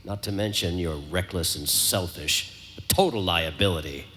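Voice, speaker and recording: An actor playing a stern, distrustful, scornful resistance commander in a live audio drama. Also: male voice, sits low, moderate pace, bright, forceful tone, a little hiss or hum. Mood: stern, angry.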